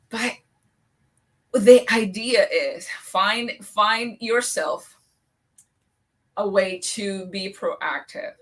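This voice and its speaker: A woman from Latin America with a Latin American Spanish accent, talking on a live online panel, animated, with many restarts and fillers. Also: mid-range voice, far from the mic.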